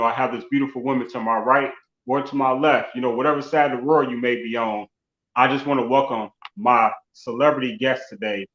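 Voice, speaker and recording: A man's voice: mid-range voice, a bit bright. A man hosting an online celebrity interview show, effusive and often dropping conversational tags as he talks. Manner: effusive, repeats "you know what I mean". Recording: roomy echo, dull lo-fi sound.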